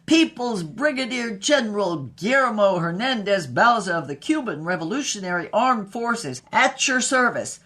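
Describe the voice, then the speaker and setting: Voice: medium pitch, expressive, moderate pace, few pauses, bright, forceful tone, voice pushed up. A performer voicing a pompous male Cuban revolutionary general who declaims his own title. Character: bombastic male Cuban general and triple agent.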